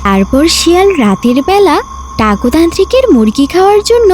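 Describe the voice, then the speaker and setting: A woman voicing a firm but indulgent animal mother in a storytelling cartoon, expressive and motherly. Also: high-pitched, brisk, very noisy.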